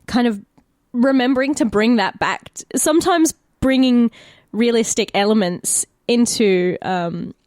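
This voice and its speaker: A woman's voice: on the high side, a little slow, a little mellow, no room sound, clean studio sound. A woman with an Australian accent in casual podcast chat, speaking informally and swearing freely. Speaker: actor and podcast player.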